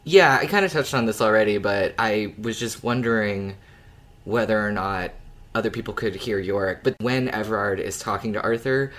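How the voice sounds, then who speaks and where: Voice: mid-range voice, normal speed, fairly bright tone. A podcast co-host chatting casually, rambling informally through their thoughts with the odd swear word. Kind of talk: casual podcast chat about an audio drama.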